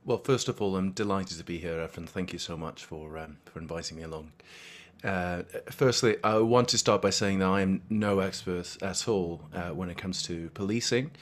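A man from Argentina, a guest in an interview, answering in a measured, reflective way with frequent hesitations. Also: deep voice.

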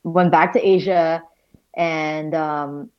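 Woman in her 30s from Malaysia, low voice, candid self-deprecating interview guest, dull lo-fi recording. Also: steady tone, slow, smooth. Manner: thoughtful, self-deprecating, many fillers. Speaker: guest, visual storyteller. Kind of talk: casual conversational interview. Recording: dull lo-fi sound.

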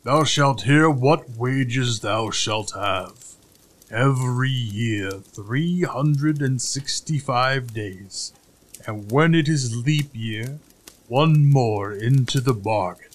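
A man voicing a huge, gruff, dim-witted giant in a fairy tale, with slow, ponderous, archaic speech. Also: medium pitch. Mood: gruff, commanding.

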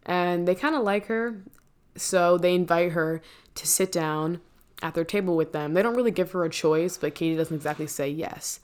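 Low-voiced chatty woman. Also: normal speed, a little mellow, smooth. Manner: chatty, many "like" fillers and self-corrections. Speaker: musical theater fan recapping a show. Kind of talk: casual spoken recap.

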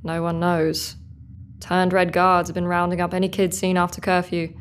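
An actress playing a guarded, steady rebel guard with a measured, terse delivery in a sci-fi audio drama. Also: female voice, fairly low pitch, a little hiss or hum, natural voice.

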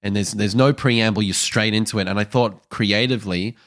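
A man's voice: on the low side, fairly even intonation, fairly quick, a bit bright. A man co-hosting a casual film review podcast, talking analytically and feeling his way to his phrasing.

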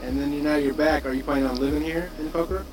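A man hosting a podcast interview, asking short questions in a relaxed, casual way. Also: on the high side, rapid-fire, over a noisy crowd, poor audio. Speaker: podcast host. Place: indoors.

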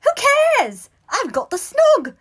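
A woman voicing an innocent, excitable young schoolboy in a squeaky, wailing voice for a story reading. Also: a little slow, full character voice. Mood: bursting with joy. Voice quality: high-pitched, squeaky, wailing.

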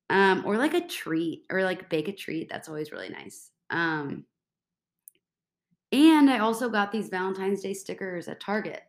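Bubbly congested young woman, echoey room. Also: medium pitch. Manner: bubbly, rambling, says like a lot. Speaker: podcast host. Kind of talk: casual solo chat.

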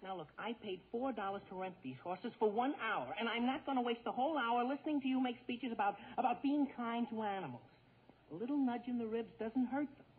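A man's voice: very high voice, a little fast. A man playing an eager, bossy, exasperated teenage boy in 1940s radio comedy, pleading and exclaiming. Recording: tinny 1940s radio broadcast.

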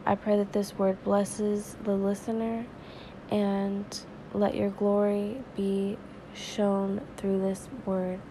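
A woman hosting a devotional podcast, sounding stuffy and raspy from crying, talking earnestly and praying in a conversational way. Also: medium pitch, monotone, dark tone, slight background noise, rough recording. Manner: earnest, conversational, slow and prayerful. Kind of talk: informal devotional talk and prayer.

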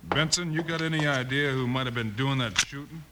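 A man plays a level-headed American frontier marshal in a radio western. He is terse and steady at a middling pitch, heard through heavy noise on a vintage recording. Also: brisk, lightly acted. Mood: calm, decisive. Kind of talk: 1950s radio western acting. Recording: very noisy old radio recording.

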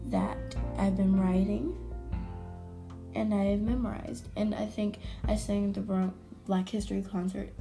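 A young woman hosting her own podcast, chatting casually and rambling with self-corrections, her voice hoarse from allergies. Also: mid-range voice. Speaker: podcast host. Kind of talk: casual solo podcast chat.

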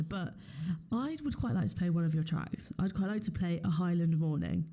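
A woman's voice: fairly low pitch, mellow. A woman hosting an interview in a casual, chatty style. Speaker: interviewer, host.

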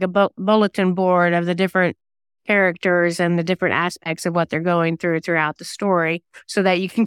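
Woman, interview guest, exceptionally smooth resonant voice, lowish register, thoughtful storyteller, close dry sound. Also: a bit bright, no echo. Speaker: singer-songwriter and novelist guest; former radio DJ. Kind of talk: reflective interview conversation.

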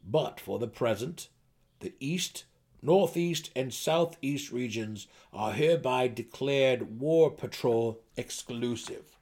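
Man acting a gnome king, fantasy audiobook, stately, measured, authoritative, deliberate. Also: mid-range voice, darkish tone. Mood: commanding, decisive. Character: gnome king, male, commanding, measured, calming his anxious council.

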